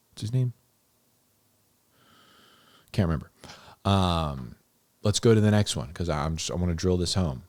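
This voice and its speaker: A man's voice: a bass voice, darkish tone, no echo. A man giving informal, unscripted Bible teaching in a conversational, emphatic way, repeating key phrases for stress. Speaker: host of a Bible-explainer series, teacher.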